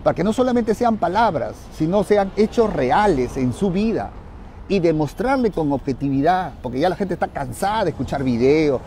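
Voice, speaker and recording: Male vlogger-coach, Peruvian accent. Soft-toned, slightly high voice, emphatic repetition, handheld phone outdoors with light street noise. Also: expressive, normal speed. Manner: emphatic, repeats phrases for emphasis. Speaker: real estate investment coach. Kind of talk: vlog talk to viewers, instructive.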